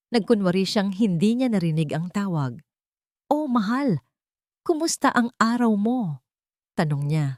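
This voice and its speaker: A woman's voice: lowish voice, dark tone, no echo, studio quality. A woman narrating a scripted story in a dramatic style.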